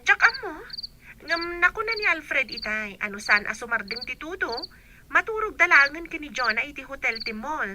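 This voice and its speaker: A woman's voice: sits high, very animated, normal speed, very noisy. An actress with an Ilocano accent playing a teasing, gossipy young woman in a radio drama. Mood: chatty, gossipy.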